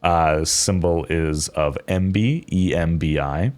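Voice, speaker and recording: A man improvising narration as a tabletop game master, in a halting, thoughtful way with pauses. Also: deep voice, darkish tone.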